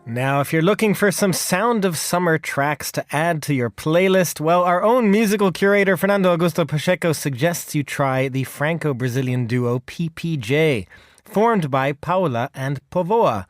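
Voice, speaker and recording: Higher-voiced male radio host, dry. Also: moderate pace, no room sound. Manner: polished, smooth segues. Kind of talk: radio news presenting.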